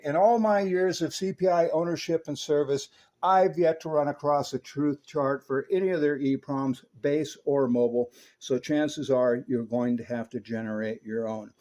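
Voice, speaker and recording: A man's voice: a little high, darkish tone. Folksy middle-aged man explaining electronics. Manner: folksy, plain-spoken, slangy. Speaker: host, radio repair technician. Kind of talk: informal technical demonstration narration.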